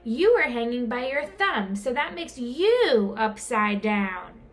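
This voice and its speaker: A woman voicing a peculiar, matter-of-fact bat in a children's story, speaking in a drawn-out, emphatic, correcting way. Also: a little high, big pitch swings. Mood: know-it-all.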